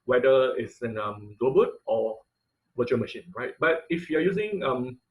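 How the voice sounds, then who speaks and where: A man teaching an online class in an informal lecture style, with halting, hesitant phrasing and repeated words, speaking over a video call. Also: mid-range voice, big pitch swings. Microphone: computer mic on a video call.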